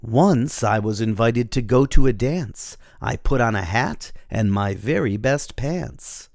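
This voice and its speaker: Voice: medium pitch, lively intonation, takes it slowly, gravelly, darkish tone, no room sound, studio quality. A storybook reader narrating a children's tale in the first person as a cheerful rhino.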